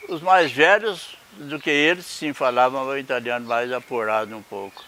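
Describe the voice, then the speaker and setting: A man interviewed about his father, speaking thoughtfully and deliberately. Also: medium pitch, bright, forceful tone, slight background noise.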